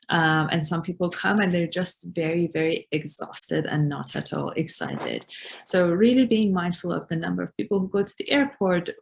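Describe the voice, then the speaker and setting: A woman's voice: on the low side, gravelly. A woman whose first language is Farsi, co-presenting a webinar in an earnest, thoughtful way with many fillers. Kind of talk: webinar talk.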